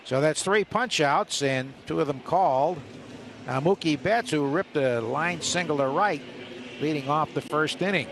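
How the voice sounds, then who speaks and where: A man's voice: fairly high pitch, a little slow, vocal fry. Male baseball play-by-play announcer over ballpark noise. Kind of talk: live radio sports commentary. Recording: steady stadium ambience behind live broadcast. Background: ballpark ambience.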